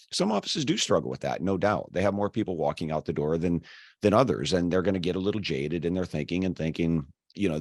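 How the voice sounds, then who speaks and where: A man's voice: sits low, a little mellow, dry recording, clean studio sound. An American man hosting a casual interview, his voice slightly scratchy as he is losing it. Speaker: podcast host.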